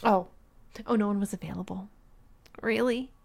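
A woman acting out a bossy, scolding young girlfriend in an audio roleplay, slipping between hushed whispers and loud outbursts and laughing mockingly as she talks. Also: mid-range voice, moderate pace, creaky, lightly acted. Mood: domineering. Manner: frequent laughter while talking.

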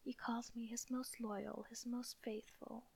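A sneering, fanatical witch, jealous and quick to flare, whispered by a woman in a breathy, gravelly hush. The voice sits a little high. It is a hushed storybook reading. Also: little pitch movement, heavily acted. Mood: indignant. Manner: whispered throughout.

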